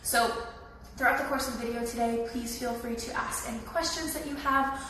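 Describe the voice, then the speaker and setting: Slightly raspy woman, echoey room. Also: on the high side, steady tone, fairly quick, pushed and bright, recorded from a distance, slight background noise. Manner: friendly, chatty, informative. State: raspy from a cold. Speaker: leasing tour host. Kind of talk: live walkthrough tour. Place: echoey empty indoor rooms.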